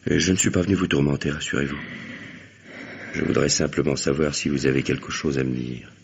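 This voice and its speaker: An actor playing a calm, courteous, gravelly police commissioner in a vintage French radio drama, measured and reassuring. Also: male voice, a bass voice, slight background noise. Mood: calm, probing. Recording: dull lo-fi old radio recording.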